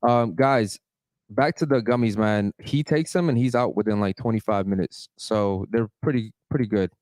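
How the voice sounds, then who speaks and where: A man hosting a casual podcast, giving shout-outs and interviewing guests. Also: lowish voice, moderate pace, no echo. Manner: hypes the chat and sponsor, asks guests questions. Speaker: podcast host.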